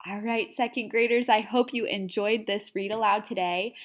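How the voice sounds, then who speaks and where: A woman's voice: medium pitch, fairly even intonation, moderate pace. A woman speaking as an elementary teacher, addressing young children after a read-aloud. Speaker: second-grade teacher.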